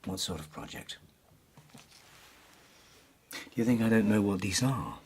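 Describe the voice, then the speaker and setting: A man acting in an audio drama as a stern, concerned schoolmaster, measured and probing as he questions a pupil. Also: fairly low pitch, animated, a little mellow, slight background noise.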